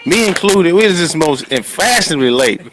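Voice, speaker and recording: A man's voice: fairly high pitch, expressive, moderate pace, a bit bright, rough recording. A man hosting a talk show in a casual, conversational style, often repeating his phrases.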